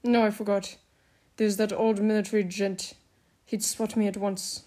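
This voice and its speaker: A woman narrator acts out a middle-aged man of slightly military type in an audiobook. He is cautious and calculating. He mutters in deliberate phrases, as if working through a plan. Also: mid-range voice, little pitch movement, dark tone, natural voice.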